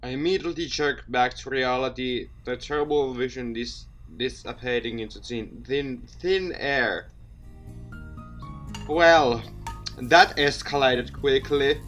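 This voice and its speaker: A game streamer with a mid-pitched voice reading a story game aloud expressively, with asides and the odd stumble over words. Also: unhurried, fairly bright tone, faint music behind. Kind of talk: let's play narration with reactions and asides.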